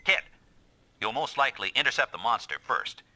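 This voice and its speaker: A man's voice: medium pitch, animated, moderate pace, very noisy, close to an everyday voice. A man voicing a stern, commanding squad boss in an anime-style video game dub, curt and authoritative.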